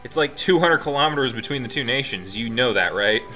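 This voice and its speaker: A man's voice: medium pitch, expressive, a little fast, music in the background. A man hosting a let's play, reading the game text aloud with his own asides and chuckles.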